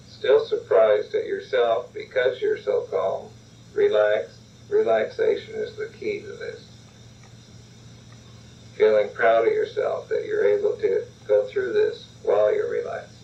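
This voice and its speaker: A man calmly guiding a relaxation and role-play exercise, repeatedly urging the listener to relax, in a dull lo-fi recording. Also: on the low side, slow pace, creaky, distant mic, slight background noise.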